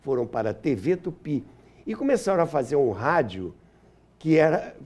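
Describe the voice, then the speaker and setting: Animated older Brazilian man, mellow voice. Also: fairly high pitch, moderate pace. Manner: explanatory, acts out quotes, repeats phrases for emphasis. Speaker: interview guest, historian and author. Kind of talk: anecdotal interview storytelling.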